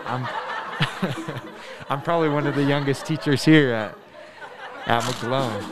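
A man in his twenties from Denver, a middle school math teacher, answering students' questions in a thoughtful, earnest way, pausing often with ums and restarting sentences. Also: medium pitch. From Denver, USA. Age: 20s. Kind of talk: casual interview answers to students.

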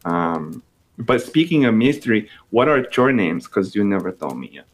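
Man voicing a female actress, Colombian accent, vain, tipsy, blunt, rambling, lowish voice. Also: very animated, moderate pace. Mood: haughty tipsy. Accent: put-on Colombian Spanish accent. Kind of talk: improvised comedy character.

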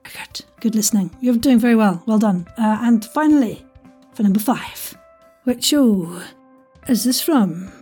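A woman's voice: fairly high pitch, a little slow, warm tone, soft background music. A woman hosting a quiz in a casual, chatty way, playful and rambling as if talking to herself. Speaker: quiz host.

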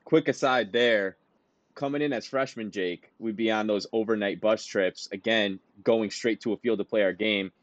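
A man's voice: fairly low pitch, moderate pace, no room sound, muffled recording. A man co-hosting a podcast, telling rambling anecdotes broken by laughter in a casual chat. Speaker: podcast co-host.

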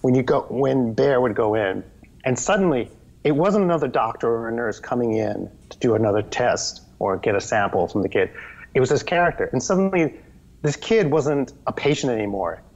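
Brief: a man in a casual interview, mid-pitched, animated and rambly, on slightly dull audio. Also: moderate pace. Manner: animated, rambling, with many restarts. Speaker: guest, children's TV performer.

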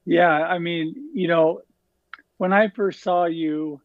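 A man with a fairly high voice hosts a podcast and praises his guest, filling gaps with "you know." His sound is dry and a bit dull, as if over a lo-fi call line. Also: fairly even intonation, slow pace, no room sound. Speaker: podcast host. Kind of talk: casual interview talk.

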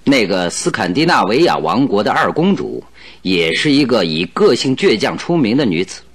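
An actor playing a confident, shrewd detective in a radio drama, with a hearty theatrical delivery. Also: male voice, on the low side, very animated, moderate pace, breathy edge. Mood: explaining, animated. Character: confident, jovial male detective, shrewd and animated. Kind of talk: Mandarin radio drama acting.

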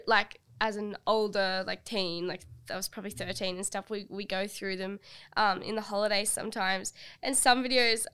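A young woman, co-hosting a podcast in casual chat, a little husky from a cold, playful and teasing. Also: medium pitch, fairly bright tone. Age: young adult. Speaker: podcast co-host.

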